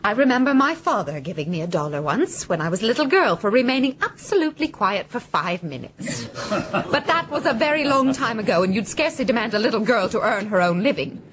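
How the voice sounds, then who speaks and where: A woman's voice: a little high, sing-song, a little fast, a bit bright, a little hiss or hum. An actress playing a genteel, spirited lady writer in a radio drama, prim, polite and composed.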